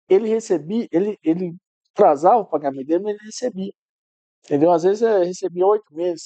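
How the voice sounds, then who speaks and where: A man's voice: fairly high pitch, warm tone, dry recording. A middle-aged man, a podcast guest telling stories in casual chat, often restarting and repeating words. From Minas Gerais, Brazil.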